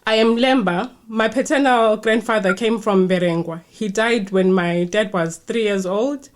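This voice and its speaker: A woman's voice: mid-range voice, unhurried. Woman hosting a podcast. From Zimbabwe. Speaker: podcast host. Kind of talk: scripted podcast intro, then interviewing.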